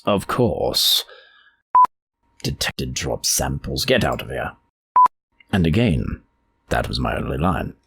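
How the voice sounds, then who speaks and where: Man acting a very deep, gravelly royal advisor. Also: a little hiss or hum, heavily acted. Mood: wry, bemused. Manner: droll, deliberate, wordy. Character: blind royal advisor, wry, formal, bemused man. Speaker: amateur voice actor. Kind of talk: theatrical in-character ad-libbing in audio drama outtakes.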